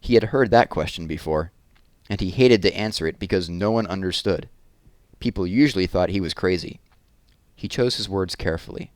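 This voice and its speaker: Low-voiced man reading a story aloud. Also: normal speed, no echo. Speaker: read-aloud host and narrator.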